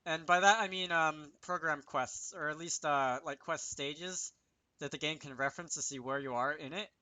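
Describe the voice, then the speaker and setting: A man's voice: fairly high pitch, moderate pace, sharp tone, dry recording, poor audio. A man hosting a casual programming tutorial, thinking aloud, muttering to himself and correcting himself as he works, close to a desk microphone. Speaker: host of a game programming tutorial.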